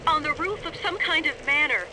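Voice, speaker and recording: A panicked young woman in a video game, pleading and demanding. Her voice is extremely high and agitated, with clear rainy storm noise around it. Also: expressive, moderate pace. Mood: frightened, pleading. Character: frightened, demanding young woman stranded on a rooftop. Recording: noisy rainstorm behind the voice.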